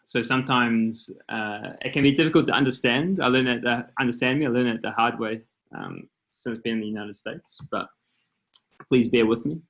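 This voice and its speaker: A man's voice: mid-range voice, moderate pace, vocal fry, fairly bright tone, highs cut off. New Zealand man explaining on a call. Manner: explanatory, lots of filler ums and uhs. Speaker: company co-founder and CEO, panelist. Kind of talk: presentation on a video call panel. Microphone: computer mic on a video call.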